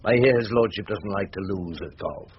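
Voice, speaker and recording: A man's voice: low voice, moderate pace, lo-fi sound, a little hiss or hum, natural voice. An actor playing a wily older British male barrister in a radio drama, with a wry, courtly, rhetorical manner. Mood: smug, sly.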